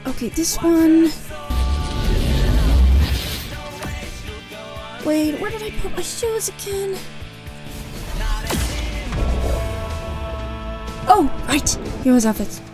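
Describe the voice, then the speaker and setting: A woman playing a young, quick-witted, playful female superhero who gets flustered, in an audio drama. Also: extremely high pitch, animated, loud music behind the voice. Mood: flustered, rushed. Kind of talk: audio drama character acting.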